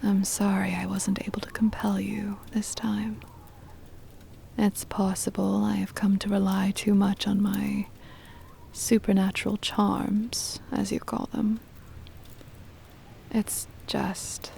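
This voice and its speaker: A possessive, ancient vampire mistress acted by a woman whispers intimately in an ASMR roleplay. Her breathy voice is heavily laced with fry and soft, dark in tone. She coaxes with doting tenderness and quiet menace, over a noisy ambient bed. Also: mid-range voice. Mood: vulnerable, hesitant. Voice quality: breathy whisper with creaky edges. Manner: slow, coaxing, possessive endearments.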